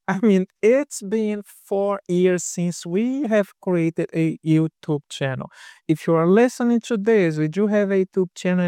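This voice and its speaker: A man's voice: high voice, a little mellow, clear, resonant tone, no echo, studio quality. A man in his fifties from Brazil with a Brazilian Portuguese accent, co-hosting a casual podcast chat in an earnest, explanatory way. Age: 50s. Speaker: podcast co-host.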